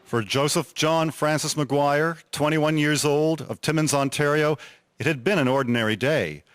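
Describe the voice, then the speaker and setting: A man's voice: a little high, normal speed, background noise. A man reading scripted historical narration in a formal documentary style.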